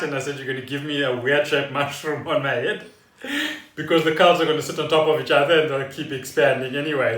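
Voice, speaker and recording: A man's voice: medium pitch, fairly bright tone, a little hiss or hum. A man chatting casually as a podcast co-host, explaining things in a rambling, storytelling way in a living room. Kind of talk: casual chat. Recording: roomy echo, distant from the mic.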